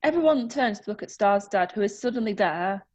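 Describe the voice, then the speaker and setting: A woman's voice: medium pitch, lively intonation, fairly quick, a little mellow. A woman reading the stage directions aloud in a plain, matter-of-fact way at an amateur online play reading.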